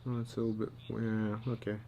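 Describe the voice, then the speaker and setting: A man giving a casual drawing tutorial, thinking aloud in a halting, muttering way as he works. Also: fairly low pitch, completely flat delivery, dull audio, slight background noise. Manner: halting, muttering to himself while working. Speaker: storyboard artist, tutor.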